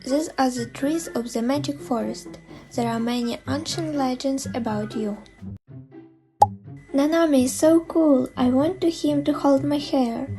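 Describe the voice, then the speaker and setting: A woman's voice: sits high, a little slow. A woman performing a dramatic comic dub as narrator and voice actor. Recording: music behind the voice.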